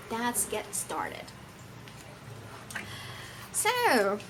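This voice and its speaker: A woman reads aloud to children in a high, hugely varied voice. She is a non-native English speaker with a theatrical, teacherly manner, taking her time and putting questions to her listeners. There is some faint noise behind her. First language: not English. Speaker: storyteller reading a picture book to children.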